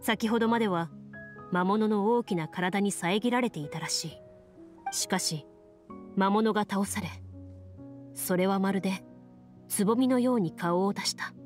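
A woman's voice: mid-range voice, faint music behind, natural voice. Woman playing a composed, solemn game master. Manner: measured, solemn storytelling. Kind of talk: scripted narration.

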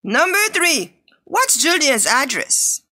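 A woman with a high, bright voice reads textbook dialogues for learners. Her delivery is clear and deliberate, and her pitch moves a lot. She sounds like a teacher voicing practice conversations. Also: big pitch swings. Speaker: textbook audio reader. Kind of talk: scripted dialogue reading for learners.

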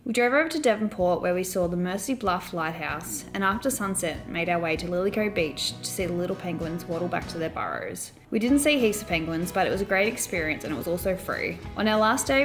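A young Australian woman reading a scripted travel voice-over. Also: lowish voice. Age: young adult. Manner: even, read narration. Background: music.

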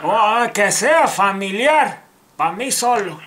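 A man with a Mexican accent playing a slow, stubborn, confused old grandfather on a prank call, rambling and repeating himself. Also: high voice, animated, a bit bright, full character voice. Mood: slow, naive. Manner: rambling, repetitive, feigning confusion. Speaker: prank caller. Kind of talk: comic phone prank. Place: living room, speaking to a handheld phone on speaker. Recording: noisy living room with phone clicks.

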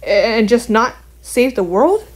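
A woman's voice: on the high side, expressive, unhurried. A woman voicing an earnest, wary young bat heroine in an amateur cartoon, talking in a casual, hesitant way. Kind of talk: amateur animated voice acting.

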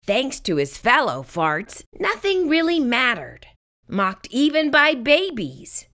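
A woman reading a children's picture book aloud in expressive, scripted narration. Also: sits high, takes it slowly.

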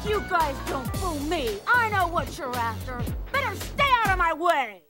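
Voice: very high-pitched, big pitch swings, normal speed. An actor voicing a feisty, suspicious female bat treasure hunter in a video game, sassy and confrontational. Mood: defiant. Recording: game music behind the voice.

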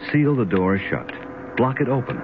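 A man's voice: mid-range voice, animated, normal speed. A man reading a grave scripted public service warning for 1970s radio. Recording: noisy, tinny 1970s radio, ticking.

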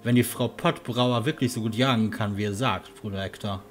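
A man's voice: fairly low pitch, brisk, faint music behind, lightly acted. A man acting a friendly castle huntsman. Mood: friendly, curious. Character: friendly male castle huntsman, hesitant, helpful. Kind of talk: fantasy audio drama acting.

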